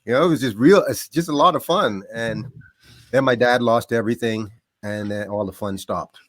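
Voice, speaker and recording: A middle-aged man from the Bahamas, a podcast guest telling stories about growing up in a lively, casual way. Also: medium pitch, normal speed, no room sound. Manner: animated, laughs often, trails off into quieter asides. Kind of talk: casual storytelling.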